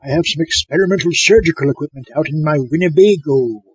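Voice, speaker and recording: Smug, oddball doctor played by a man for audio comedy, high-pitched, muffled recording. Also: quick pace, husky, no echo. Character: eccentric, smug mad doctor with odd hobbies. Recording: muffled recording with faint noise.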